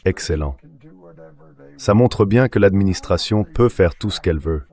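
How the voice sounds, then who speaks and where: A man with a low voice gives news interview analysis in a French voice-over. He sounds assertive and confident, like a military pundit. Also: normal speed, darkish tone. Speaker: retired admiral, television news guest.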